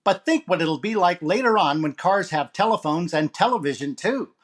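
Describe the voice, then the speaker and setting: Man playing a high-pitched wisecracking radio comedian. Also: animated, moderate pace. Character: wisecracking male radio comedian delivering topical gags. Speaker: actor playing a comedian host. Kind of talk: 1940s radio comedy monologue.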